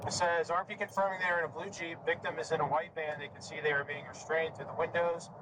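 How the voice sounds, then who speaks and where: A man's voice: fairly high pitch, little pitch movement, fast. A male police deputy talking casually on the job. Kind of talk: casual spoken talk on the job. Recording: loud vehicle noise, body camera audio.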